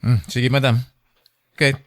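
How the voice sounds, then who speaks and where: A man's voice: mid-range voice, little pitch movement, moderate pace, fairly bright tone, no echo, lightly acted. A man with an Ilocano accent acting as a polite, obliging traveller in a radio drama.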